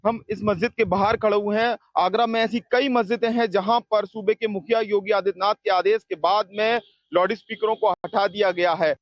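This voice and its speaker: A man's voice: high voice, fairly even intonation, moderate pace, no echo. A man reporting from location for TV news in a wordy, repetitive way. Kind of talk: on-location TV piece to camera. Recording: dry, dull narrow-band sound.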